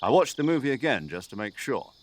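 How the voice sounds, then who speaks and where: A man voicing an older military commander in a video game, precise and drily good-humoured, articulate and measured as he briefs an agent. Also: medium pitch, very animated, natural voice. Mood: wry, chatty. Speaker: mission commander giving a radio briefing.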